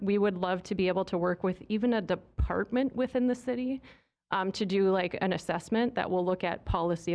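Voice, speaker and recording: A woman's voice: lowish voice, gravelly, darkish tone. A woman presenting a report to a city commission, friendly and full of fillers. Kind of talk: meeting presentation. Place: city meeting room.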